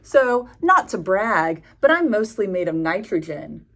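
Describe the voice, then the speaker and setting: A woman's voice: mid-range voice, sing-song, measured pace, a bit bright, full character voice. A woman voicing a cheerful, chatty little planet in a children's book, eager and a bit boastful.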